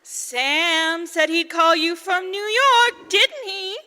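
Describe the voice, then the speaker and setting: A woman's voice: very high voice, steady tone, slow, sharp tone, exaggerated voice. A woman playing a meddling, disapproving older mother in a 1940s radio drama, bossy and often calling out. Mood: suspicious, scolding. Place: large church, before an audience. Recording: live church performance, hall reverb, music behind.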